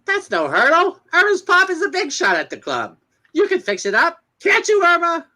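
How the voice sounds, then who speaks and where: A woman's voice: very high voice, wildly swooping pitch, normal speed, bright, forceful tone, heavily acted. A woman playing a brash, nosy young country club gossip in an amateur reading of a romance comic, slangy in manner. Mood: eager, pushy.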